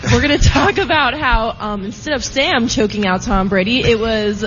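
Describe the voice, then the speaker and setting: A woman's voice: a little high, normal speed, fairly bright tone, big-room sound. A young woman, an intern, talking sports casually on a radio show, nervous and giggly. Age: young adult. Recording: muffled, noisy room recording.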